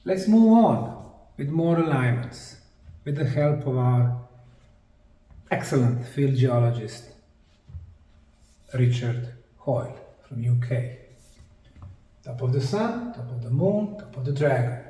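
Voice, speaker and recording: A man giving a lecture-style presentation, speaking deliberately in short, emphatic phrases with pauses. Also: medium pitch, animated, dark tone. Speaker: presenter, anthropologist. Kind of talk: slide lecture presentation on sacred geometry. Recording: distant mic in an echoey room.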